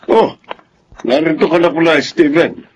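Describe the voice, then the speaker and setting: A man's voice: a little high, measured pace, slight background noise, natural voice. A man playing a genial middle-aged businessman uncle in a Filipino radio drama.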